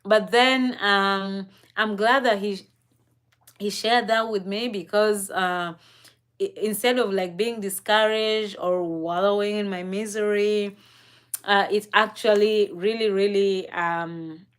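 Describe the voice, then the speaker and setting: A young woman from East Africa telling a personal story on a live stream in a reflective, unhurried way, with frequent fillers and soft laughs. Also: medium pitch, fairly even intonation, smooth.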